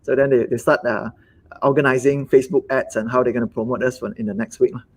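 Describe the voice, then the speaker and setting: A man with a Malaysian English accent, a business owner talking in a live webinar conversation, halting and repeating words as he acts out quotes. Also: mid-range voice, fairly even intonation, rough recording.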